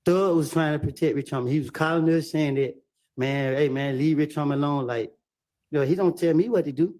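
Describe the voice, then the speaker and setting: A man's voice: fairly high pitch, fairly quick, a little mellow. A man giving courtroom testimony in a defensive way, repeating himself under questioning. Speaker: witness.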